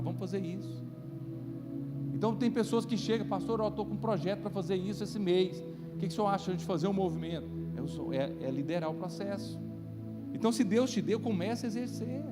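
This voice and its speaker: A man with a Brazilian accent preaching to a congregation as a pastor, in a conversational way that checks in with listeners through rhetorical questions. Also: sits high. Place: church, live-streamed service. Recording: reverberant hall, loud music underneath. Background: loud music.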